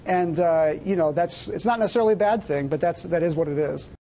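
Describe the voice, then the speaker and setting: A man's voice: on the high side, fairly quick, mellow, soft background music. A man giving off-the-cuff technology commentary on a broadcast panel, explaining things in a hedging, conversational way.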